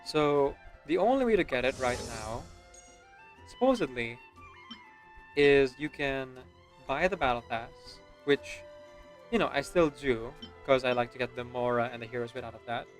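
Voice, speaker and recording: A man's voice: mid-range voice, normal speed. A man giving casual, rambling gaming stream commentary, often checking in with "right?" as he explains. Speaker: video game livestreamer. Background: background music.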